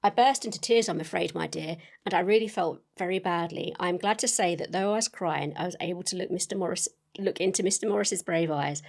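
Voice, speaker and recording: A woman voicing an excitable young Victorian lady reading out her sentimental letter in a gushing, earnest way. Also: on the low side, a little fast, vocal fry, hardly any pauses. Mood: tender, earnest. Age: young adult.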